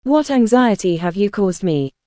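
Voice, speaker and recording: A woman's voice: mid-range voice, expressive, normal speed, mellow, no echo, studio quality, lightly acted. A woman voicing a kind, devoted young woman with tender, earnest words in a storybook tale. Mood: anxious, loving.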